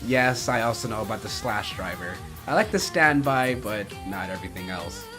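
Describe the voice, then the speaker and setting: A man's voice: fairly low pitch, normal speed, loud music behind the voice. A man giving casual commentary as the host of a countdown video.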